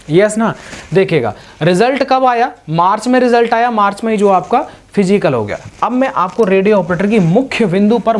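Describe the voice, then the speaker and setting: A man explaining things informally to students as a coaching teacher, repeating points and often directing the listener's attention. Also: sits high. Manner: repetitive, instructive, with frequent verbal pointers to the listener.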